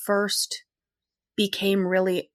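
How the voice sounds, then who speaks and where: A woman's voice: medium pitch, completely flat delivery, takes it slowly, no echo, clean studio sound. A woman hosting an interview podcast, asking thoughtful questions in a conversational way. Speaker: podcast host.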